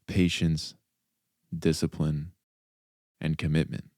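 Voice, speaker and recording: A man guiding a meditation as a mindfulness coach, speaking calmly and deliberately in short instructional phrases with pauses. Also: rumbling low, no room sound, clean studio sound. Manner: calm, deliberate, soft instructional delivery with pauses. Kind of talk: guided meditation narration.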